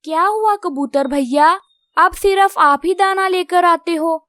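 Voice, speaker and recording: Woman as a very high-voiced, gentle little bird. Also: measured pace, no room sound, studio quality. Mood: gentle, hopeful. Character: wise, brave, grateful little female tailorbird. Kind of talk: Hindi children's cartoon dialogue.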